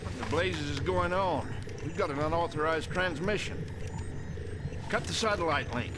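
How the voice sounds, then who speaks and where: Game cutscene general male actor: gruff, folksy, fairly high-pitched for a man, commanding, clear noise behind him. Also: moderate pace, close to an everyday voice. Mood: alarmed, brisk. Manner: blustery, colorful folksy idioms, commanding. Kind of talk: military briefing acting in game cutscenes. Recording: clearly noisy background.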